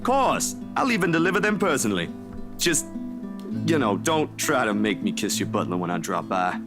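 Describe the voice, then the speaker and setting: Flustered, friendly young male photographer for a visual novel, played by an actor; casual, stammering, very animated pitch, music loud underneath. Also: normal speed, natural voice. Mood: sheepish, friendly.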